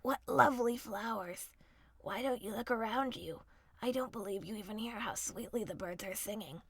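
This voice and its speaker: A woman voicing a sly, wicked male wolf in a fairy tale, hushed and coaxing as he schemes. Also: mid-range voice, moderate pace, husky, dry recording.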